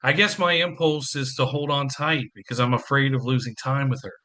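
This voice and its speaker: A man's voice: medium pitch, fairly even intonation, fairly quick, pushed and bright, natural voice. A man playing a stressed, anxious divorcing father in an audio play, with a wry, self-deprecating and earnest manner. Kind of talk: community theatre audio play acting.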